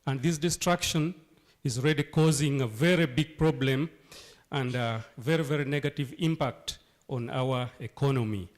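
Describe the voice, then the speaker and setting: A hoarse man from Uganda, sick with a cold, speaking emphatically at a town hall meeting and asking rhetorical questions. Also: mid-range voice, normal speed. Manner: emphatic, rhetorical questions, repeats key phrases. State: hoarse from flu and cough. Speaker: local leader addressing a town hall.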